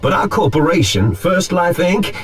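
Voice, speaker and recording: A man voicing a smug, genial and grandiose corporate founder in an animated game cutscene, theatrical in delivery. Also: high voice, normal speed. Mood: smug, welcoming.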